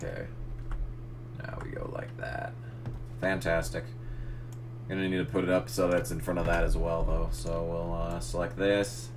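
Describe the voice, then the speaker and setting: Deep-voiced young man muttering while editing. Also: level tone, moderate pace, pauses often, noisy background. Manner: mutters and swears at software, self-narrating. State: losing his voice. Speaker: gaming channel host. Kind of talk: casual tutorial commentary while editing.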